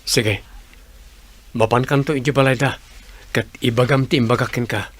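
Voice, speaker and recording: A man's voice: mid-range voice, fairly even intonation, moderate pace, fairly bright tone, breathy edge. A man acting an earnest, guarded young suitor with an Ilocano accent in a radio comedy drama. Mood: earnest, insistent.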